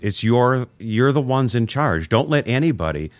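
A man's voice: mid-range voice, very animated, moderate pace, dry recording. A middle-aged man hosting a radio interview, chatting casually and rambling through nostalgic stories. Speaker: radio host.

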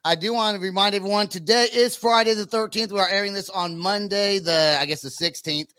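A man with a notably high, bright voice hosts a casual interview. He talks in loose slang with some swearing, recorded very dry. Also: brisk, no echo. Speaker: podcast host.

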